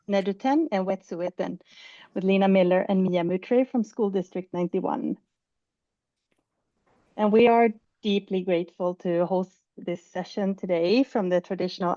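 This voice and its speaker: A woman from Sweden presents at an education conference, speaking in a calm, measured way. Her voice is mellow and dry, with no room echo. The call audio sounds a little dull and muffled. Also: mid-range voice.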